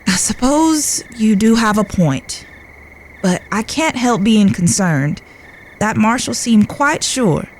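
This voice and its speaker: Frontier sweetheart played by a woman: polite, tender, prone to fretting, fairly high voice, genteel delivery for western audio drama. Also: lively intonation, slow pace, slight background noise, rough recording. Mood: soft, relenting. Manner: polite, genteel, proper phrasing. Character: genteel, tender, fretful Old West lady.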